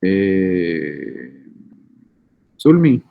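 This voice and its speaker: Chatty lecturer on a tinny call. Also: male voice, low voice, big pitch swings, drawn-out words, creaky. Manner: informal, chatty, teasing. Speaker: university lecturer. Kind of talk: online class roll call and lecture. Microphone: video call. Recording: tinny phone-like call audio.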